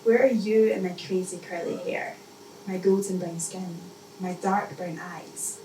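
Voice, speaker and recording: A woman reading her spoken-word poetry at a live event, with measured, deliberate phrasing and pauses. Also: fairly low pitch, fairly even intonation. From Scotland. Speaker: poet. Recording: echoey, noisy room, mic distant.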